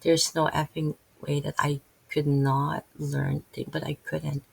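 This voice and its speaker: A middle-aged woman with a Filipino accent, talking casually and haltingly to her channel with many restarts. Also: deep voice, little pitch movement, creaky, husky, rough recording. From Philippines. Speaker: channel host. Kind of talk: casual unscripted video talk.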